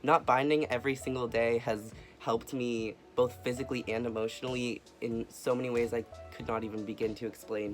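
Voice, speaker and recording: A young man in a casual video update, talking earnestly and softly with many ums and uhs. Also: mid-range voice. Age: young adult. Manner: soft-spoken, earnest, with frequent fillers. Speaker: vlogger.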